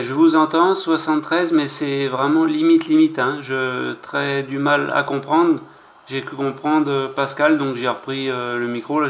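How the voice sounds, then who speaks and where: A lo-fi radio transmission with faint noise carries a man. He chats casually as an amateur operator, hesitantly building his sentences piece by piece. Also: medium pitch. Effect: two-way radio transmission. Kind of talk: casual radio contact chat.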